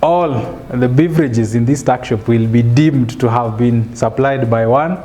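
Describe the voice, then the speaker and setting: A Kenyan man in his thirties answers in a studio interview, speaking on a lapel mic. He takes his time, with a steady, mid-pitched voice, and sprinkles in fillers and restarts. Faint room ambience sits under him. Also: a little hiss or hum. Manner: measured, with many fillers and restarts. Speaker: guest, author and business speaker. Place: studio set, seated in an armchair.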